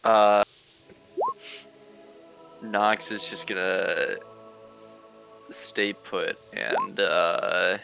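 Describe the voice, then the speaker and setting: Man, low creaky voice with a bright edge, hesitant casual game player, faint music. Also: drawn-out words, long pauses. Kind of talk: casual chat. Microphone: online voice call.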